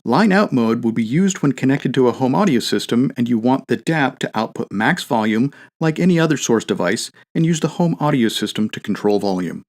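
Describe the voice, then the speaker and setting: A man reading a scripted product tutorial as a demonstrator, in a clear step-by-step instructional way. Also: mid-range voice, animated, brisk, few pauses, a little mellow.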